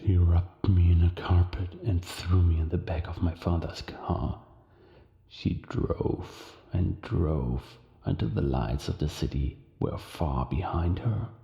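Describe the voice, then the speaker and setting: Brooding bitter man, very dark low voice, weary, terse, slow, audio drama, muffled reverberant sound. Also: creaky, exaggerated voice. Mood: grim, haunted. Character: sullen, brooding, embittered man. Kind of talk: cinematic audio drama acting.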